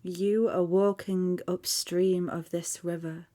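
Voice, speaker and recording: A woman leading a guided meditation in a soft, calm voice that often drops to a near-whisper. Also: fairly low pitch, slow pace. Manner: soft, calm, hushed, half-whispered at times.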